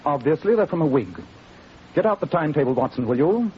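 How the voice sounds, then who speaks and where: Actor as keen British detective, old radio recording. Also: male voice, a little high, animated, fast talker, highs cut off, a little hiss or hum, close to an everyday voice. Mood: keen, probing. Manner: precise, assured, formal. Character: famous male detective, keen, confident and courteous. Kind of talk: 1940s radio drama acting.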